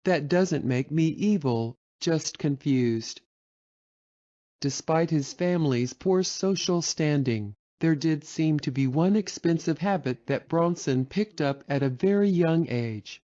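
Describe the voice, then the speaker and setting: A man reading a scripted documentary narration in an even, measured way. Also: fairly high pitch, highs cut off.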